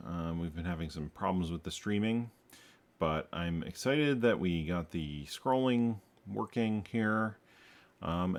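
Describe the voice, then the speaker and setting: A man hosting a programming tutorial, thinking aloud as he codes, tired, with many ums and uhs. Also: fairly low pitch. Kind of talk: casual screen-recorded coding walkthrough.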